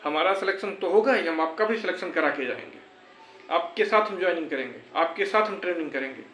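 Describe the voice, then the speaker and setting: A man's voice: sits high, lively intonation, quick pace, a bit bright. A young man gives an informal motivational address, earnest and reassuring, repeating phrases for emphasis. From India. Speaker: exam-prep vlogger. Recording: echoey room with faint background noise.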